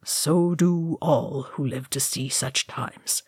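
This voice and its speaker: A woman reader puts on an old wizard from a fantasy storybook. He speaks low and slow in a hushed, breathy voice. He sounds gentle and wise. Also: no room sound, clean studio sound, full character voice. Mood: gentle, grave.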